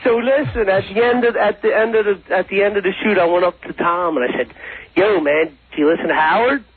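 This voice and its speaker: Young man phoning in to radio, creaky and husky, loose slangy storyteller. Muffled lo-fi call. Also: sits high, fairly quick. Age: 20s. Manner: slangy slacker talk, says "man" constantly. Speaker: phone-in recurring guest. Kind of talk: casual rambling anecdotes.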